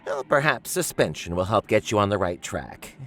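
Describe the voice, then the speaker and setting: Very deep-voiced, smug woman principal. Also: big pitch swings, normal speed, a bit bright, heavily acted. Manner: theatrical, condescending. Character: smug, sardonic middle-aged school principal. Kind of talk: audio drama acting.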